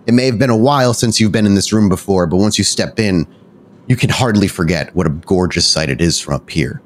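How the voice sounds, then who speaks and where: Low-voiced male game master. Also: fairly quick, slight background noise. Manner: descriptive scene-setting. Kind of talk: narrating a tabletop horror game.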